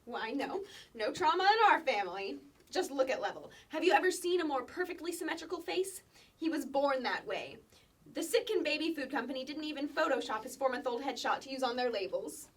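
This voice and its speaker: A woman's voice: sits high, expressive, brisk, far from the mic. An actress playing a bossy, precocious kindergarten girl with a pompous, officious manner in a staged comedy sketch reading. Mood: smug. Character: bossy, precocious kindergarten girl managing her twin brother.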